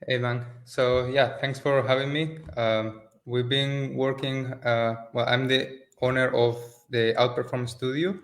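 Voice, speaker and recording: A man's voice: mid-range voice, a little slow, hardly any pauses. Spanish guy, easygoing interview guest. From Spain. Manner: relaxed, loose non-native phrasing with frequent restarts. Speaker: agency owner, guest. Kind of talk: casual interview over a call. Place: rented office in Mexico. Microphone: video call.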